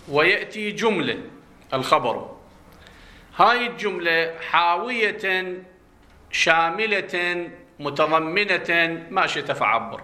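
A man's voice: high-pitched, pushed and bright. A man with an Iraqi Arabic accent teaching grammar in a classroom lecture. He asks the class questions and answers them himself, drawing out key words for stress. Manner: drawn-out stressed words when explaining terms.

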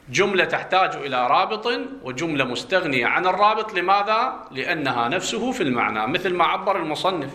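A male grammar teacher with an Iraqi Arabic accent lectures in a clear, ringing voice that sits a little high for a man. He stretches out key terms for emphasis. He keeps putting questions to his students and answering them himself. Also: bright, forceful tone. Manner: drawn-out stressed words when explaining terms. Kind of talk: classroom lecture explaining a classical text.

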